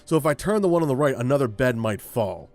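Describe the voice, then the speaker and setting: A man's voice: mid-range voice, expressive, fast, darkish tone, soft background music. A man hosting a gaming video with casual, rambling commentary, thinking aloud as he plays. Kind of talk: casual let's play commentary.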